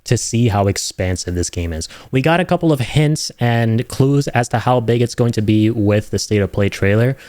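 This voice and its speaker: Excitable young man reacting to a trailer. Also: medium pitch, normal speed, few pauses, no room sound, clean studio sound. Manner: enthusiastic, rambling, many fillers and "right?". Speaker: host reacting to game trailers. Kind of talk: casual reaction commentary.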